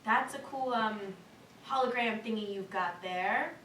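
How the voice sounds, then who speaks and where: A woman's voice: a little high, unhurried, a bit bright. A woman playing an eager, awkwardly friendly park employee in a staged reading of a cartoon script. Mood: coaxing. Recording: echoey room, distant mic, faint noise.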